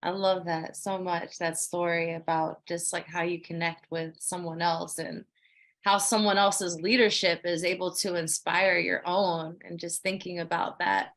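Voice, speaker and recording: A woman with a slightly low voice moderates a panel. She is enthusiastic but hesitates often, filling her pauses with ums. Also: flat delivery, fairly bright tone. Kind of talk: panel conversation.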